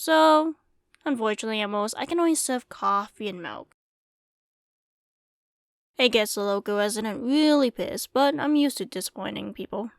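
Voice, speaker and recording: Woman acting a higher-voiced wry desert bartender. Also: dry recording, clean studio sound. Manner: wry, self-deprecating, casual and chatty. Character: easygoing former singer turned small-town desert bartender. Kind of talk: ASMR roleplay acting, intimate one-sided conversation.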